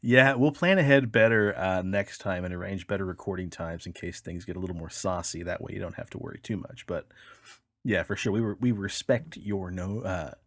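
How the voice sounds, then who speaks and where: A man with a fairly low voice hosts a casual podcast. He talks in a joking, profane and suggestive style, like banter among friends. The sound is very dry, with no room around it. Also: fairly quick, no echo. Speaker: podcast co-host. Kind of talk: casual comedic podcast chat.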